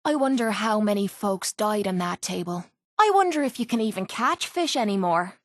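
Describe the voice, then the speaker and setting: A woman's voice: mid-range voice, lively intonation, normal speed, no echo, studio quality, close to an everyday voice. An actress playing a tough, cynical, streetwise young woman with an Irish accent, as a companion in a video game. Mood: wry, grumbling. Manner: blunt, sardonic, streetwise. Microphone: close, dry studio microphone.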